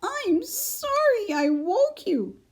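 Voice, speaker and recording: A woman voicing a tiny, timid female mouse who speaks gently and earnestly in a high squeaky voice. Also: big pitch swings, full character voice. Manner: high squeaky voice, slow and careful.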